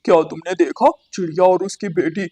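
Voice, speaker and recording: A woman voicing a nosy, henpecked crow husband in a cartoon, with a husky, nagging delivery. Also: lowish voice, fairly even intonation, a little fast, dark tone, pitched below the performer's own voice. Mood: eager.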